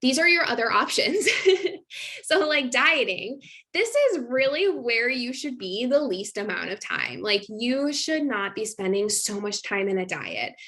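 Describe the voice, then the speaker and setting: A woman's voice: fairly high pitch, sing-song, unhurried, pushed and bright. Chatty woman coach, lots of ums. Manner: chatty, filler-heavy, laughs between points. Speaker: nutrition coach. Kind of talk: casual online masterclass presentation.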